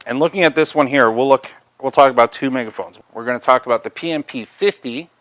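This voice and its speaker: A man's voice: medium pitch, fast talker, a bit bright. A man presenting a product review, talking through features in an unscripted, conversational, explanatory way.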